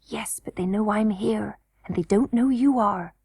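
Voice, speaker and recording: A woman voicing a brave, practical young boy miner, hushed, cautious and matter-of-fact, in a children's storybook reading. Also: mid-range voice, measured pace, creaky, husky, dry recording, clean studio sound.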